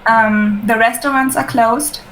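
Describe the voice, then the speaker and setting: A woman from Germany on a video call, explaining things clearly. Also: a little high, steady tone, measured pace, a bit bright, slight background noise, low-quality recording. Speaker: interviewee.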